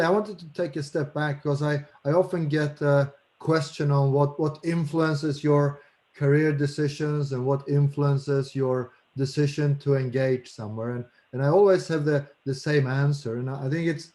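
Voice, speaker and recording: Swedish man with a higher-pitched voice. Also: a little mellow. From Sweden. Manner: repeats words as he goes. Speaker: incoming president of a professional association. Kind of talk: informal speech in an online board meeting. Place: video conference call.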